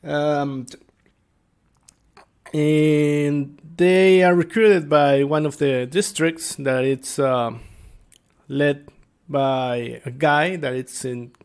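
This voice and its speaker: A man giving an informal explainer as a game master, speaking haltingly and searching for his words. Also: fairly high pitch, darkish tone, clear, resonant tone. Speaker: tabletop game master and video creator. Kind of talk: informal explainer talk to viewers.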